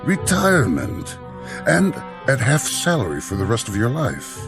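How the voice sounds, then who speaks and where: An actor playing an uneasy, tactful school headmaster, speaking formally and diplomatically with some hesitation in a vintage television drama. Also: male voice, medium pitch, wildly swooping pitch, unhurried, vocal fry, music in the background, exaggerated voice. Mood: placating.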